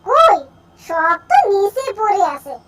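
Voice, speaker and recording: A scornful, nagging wife from a village cartoon , put on by a woman. She scolds in a very high voice, and the recording is noisy. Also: sing-song, slow pace, exaggerated voice. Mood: irritated scolding. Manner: scolding, exclamatory. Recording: clearly noisy background.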